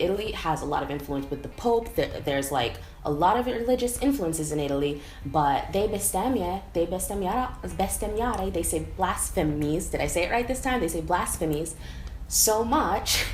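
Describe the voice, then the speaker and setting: Chatty young woman vlogging, echoey room. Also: lowish voice. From United States. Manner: chatty, animated, lots of "like" fillers. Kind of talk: casual vlog monologue. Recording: echoey room, faint background noise.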